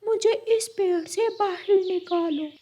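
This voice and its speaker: Woman as a very high-pitched cartoon witch. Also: slow pace, warm tone. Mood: pleading. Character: female witch, pleading and bargaining with magic. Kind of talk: Hindi cartoon story dialogue.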